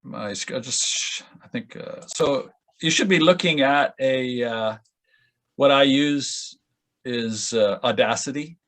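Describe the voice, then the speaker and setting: A man explaining audio editing step by step in an informal, halting way during an online session. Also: medium pitch, a bit bright. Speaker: audio editor demonstrating at a conference session. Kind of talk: informal software tutorial. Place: online video call with screen sharing.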